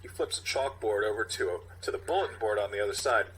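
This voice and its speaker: A man's voice: on the low side, lively intonation, fast, a bit bright, slight background noise. A man co-hosting a podcast, chatting casually and reading out script directions. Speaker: podcast co-host.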